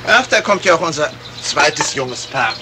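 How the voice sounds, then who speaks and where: Casting: a male actor as shrewd, urbane detective, lively melodic delivery, vintage radio play with clear noise. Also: on the high side, normal speed, close to an everyday voice. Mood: courteous, probing. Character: shrewd, courteous gentleman detective, probing suspects. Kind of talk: 1970s German radio crime drama acting.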